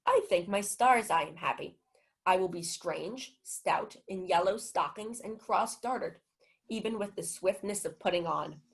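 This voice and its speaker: A woman's voice: medium pitch, a little slow, lightly acted. A young woman acting a pompous, gullible male steward in a Shakespeare comedy radio play, theatrical and self-important. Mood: elated. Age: young adult. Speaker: student actor.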